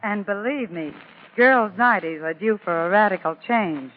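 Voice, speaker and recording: A man's voice: high-pitched, moderate pace. A man giving scripted Hollywood gossip as a reporter in 1940s radio style. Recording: tinny, noisy 1940s radio.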